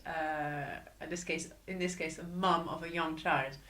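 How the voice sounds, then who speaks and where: A woman's voice: deep voice, fairly even intonation, unhurried, fairly bright tone, echoey room. A woman interviewing a student, chatty and laughing often, with rambling restarts. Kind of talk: casual interview.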